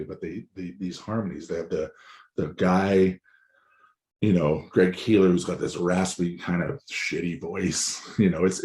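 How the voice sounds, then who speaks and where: A man in his forties, a musician guest with a raspy, hoarse voice, talking in a loose, rambling way that is sprinkled with swearing. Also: sits low, darkish tone. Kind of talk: casual chat.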